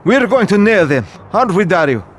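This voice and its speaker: An actor playing an eager, cocky Italian soldier in a video game cutscene. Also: male voice, high voice, sing-song, fairly quick, slight background noise.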